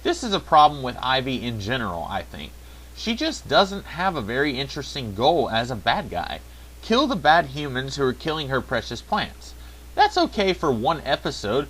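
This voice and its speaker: A man's voice: mid-range voice, a bit bright, rough recording. A man talks through a scripted review in a mid-range voice that rises and falls a lot. His delivery is wry, with sarcastic asides. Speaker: video reviewer.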